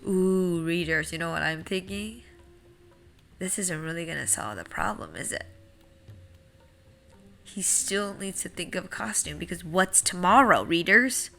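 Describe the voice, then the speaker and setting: A woman reading a picture book aloud to children, expressive, asking questions and explaining words as she goes. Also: fairly low pitch, measured pace, gravelly, long pauses, soft background music. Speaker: read-aloud storyteller for children.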